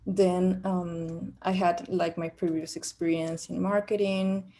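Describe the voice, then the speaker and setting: A woman's voice: on the low side, flat delivery, slow, dark tone, slight background noise. A young woman from Peru with a Peruvian Spanish accent, speaking English as a second language, answering questions in a practice job interview with many fillers and restarts. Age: young adult. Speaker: job candidate.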